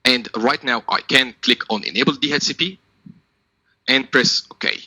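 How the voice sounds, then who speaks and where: A man's voice: mid-range voice, normal speed, bright, forceful tone, husky, no echo, low-quality recording. A man working as a technical trainer, giving a step-by-step product tutorial in a methodical, instructional manner.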